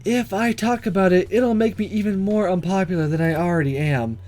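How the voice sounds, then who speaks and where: Man putting on an earnest higher-pitched schoolgirl. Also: fairly quick, darkish tone, soft background music, close to an everyday voice. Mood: earnest, defensive. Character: earnest, guarded schoolgirl with few friends.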